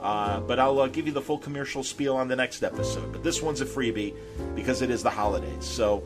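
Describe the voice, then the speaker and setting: A male podcast host speaks in an unscripted, conversational way. His delivery rambles a little, with plenty of hesitations, and his pitch sits in the middle range for a man. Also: brisk, music in the background. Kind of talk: casual chat, unscripted sign-off to listeners.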